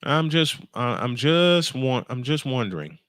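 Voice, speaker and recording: Animated man calling a ballgame. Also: medium pitch, a little slow, no echo, clean studio sound. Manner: rambling, self-interrupting, thinks aloud. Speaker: sports play-by-play streamer. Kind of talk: live baseball commentary mixed with casual chat.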